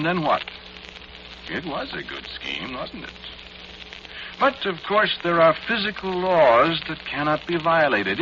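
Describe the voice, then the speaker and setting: A man acting a doomed inventor in an old radio horror drama, speaking to the listener in an intimate, pleading, halting way. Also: low-pitched, background noise, full character voice. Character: doomed male inventor of a time machine, haunted, pleading. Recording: muffled old radio recording with music.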